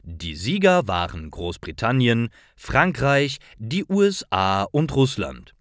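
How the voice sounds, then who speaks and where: A man reading scripted stories for language learners, phrasing each short sentence deliberately and enunciating clearly. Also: medium pitch, very animated, a bit bright, no echo, clean studio sound. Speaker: narrator of German learning stories.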